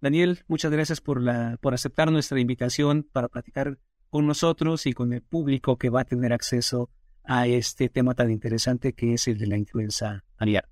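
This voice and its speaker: A man hosts an interview and asks his questions in a formal, courteous way. His voice sits at a middle pitch. The sound is close and dry. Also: steady tone, normal speed, darkish tone, no echo. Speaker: interview host. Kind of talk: hosted interview.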